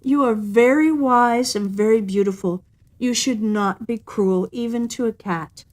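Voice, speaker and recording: A woman's voice: on the high side, darkish tone, lightly acted. A woman voicing a proud, cunning male wild cat in a storybook, declaiming in a haughty way. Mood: mock sorrowful, pleading. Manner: haughty, declaiming, pleading with drawn-out words.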